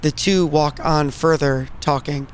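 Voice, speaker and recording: A man's voice: on the high side, fairly even intonation, a little slow, slight background noise. A man reading cinematic scripted narration as a storyteller. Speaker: narrator and player.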